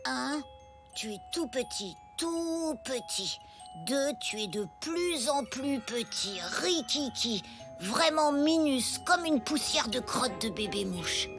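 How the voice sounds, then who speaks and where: A woman's voice: high-pitched, expressive, slow pace, over music, exaggerated voice. A woman voicing an earnest, gullible schoolboy sidekick in a French cartoon, a little hesitant and naive. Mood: solemn counting. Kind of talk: French animated cartoon acting.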